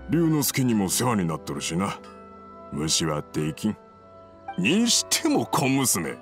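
A gruff old master swordsmith from an anime game. His low voice rises and falls strongly, curt and old-fashioned, stern yet caring, over faint music. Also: huge pitch swings, unhurried. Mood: gruff, conceding.

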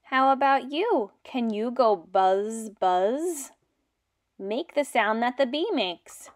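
A young woman teaching and reading aloud to small children in simple, deliberate phrases. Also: fairly high pitch, animated. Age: young adult. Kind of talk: children's read-aloud lesson.

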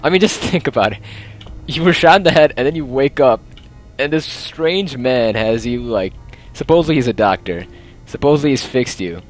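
A man's voice: medium pitch, expressive, moderate pace, soft background music. A young man casually narrating a game, rambling and joking as he plays. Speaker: let's play host. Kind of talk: casual gameplay commentary.

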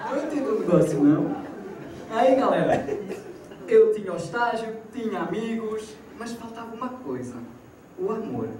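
A man's voice: sits high, moderate pace, a loud crowd. A young man in his twenties from Portugal with a European Portuguese accent, doing a stand-up comedy set. He tells his stories with animation and acts out the dialogue. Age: 20s. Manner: animated storytelling, acting out quoted dialogue. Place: small theater stage. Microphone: handheld mic, filmed from far off. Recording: echoey hall, distant camera, audience noise. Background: audience laughter.

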